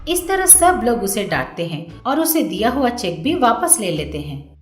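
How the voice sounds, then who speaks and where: A woman's voice: on the high side, sing-song, moderate pace, reverberant, faint music behind. A woman narrating a scripted children's moral story as the storyteller.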